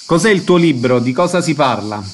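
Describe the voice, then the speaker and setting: A man's voice: a little high, expressive, a little fast. A man presenting on radio as host, reading announcements and asking short questions.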